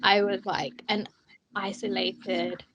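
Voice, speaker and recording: A woman's voice: medium pitch, little pitch movement, slow, gravelly, muffled recording, noisy background. A young woman from Bangladesh, a student union president, chatting casually and laughing often as she tells her story. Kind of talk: casual chat while eating snacks. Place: indoors, student union room.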